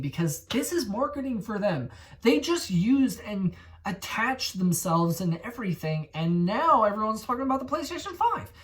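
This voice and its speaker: A man in his twenties hosting a gaming channel, talking in casual commentary that rambles, gets excited and corrects itself. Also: high-pitched, distant mic. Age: 20s.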